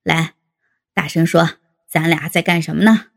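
Name: Gao Zhiyuan. A woman putting on a gravelly man in his fifties. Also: a little high, fairly even intonation, unhurried, pitched below the performer's own voice. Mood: coaxing. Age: 50s. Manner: jovial, urging, chuckling. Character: teasing, coaxing middle-aged eldest brother and father.

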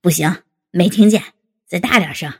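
A woman reading aloud voices a cheeky young man who teases with a grin in his words. The voice is dropped far below her own and sounds husky and gravelly. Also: sits high, moderate pace, a little mellow, pitched below the performer's own voice, full character voice. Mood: teasing. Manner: teasing, laughing. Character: cheeky young adult nephew.

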